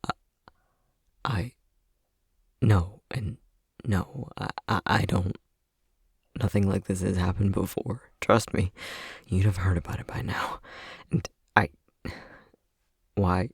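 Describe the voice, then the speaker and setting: A man acting an easily flustered, anxious young boyfriend in an ASMR roleplay, stammering nervously in a breathy, husky voice. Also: sits low, steady tone, takes it slowly, long pauses, no room sound, clean studio sound, exaggerated voice. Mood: anxious, hesitant.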